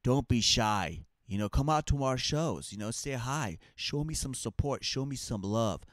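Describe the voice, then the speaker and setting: A man's voice: mid-range voice, animated, a little slow, fairly bright tone, no room sound, clean studio sound. A man with an Armenian accent co-hosting a comedy podcast, chatting casually in a rambling, mock-boastful way. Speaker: comic and podcast co-host.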